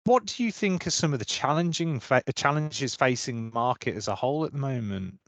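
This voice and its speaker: A man's voice: mid-range voice, animated, brisk, a bit bright, dry recording. A man hosting an interview, asking questions in a friendly, conversational way with fillers and repeated words. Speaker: interviewer, recruitment practice lead.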